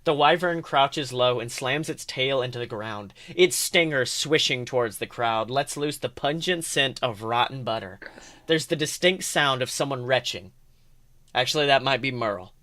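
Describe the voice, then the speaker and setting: A man co-hosting a podcast, reading fan fiction aloud as an expressive narrator. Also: mid-range voice. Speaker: podcast co-host.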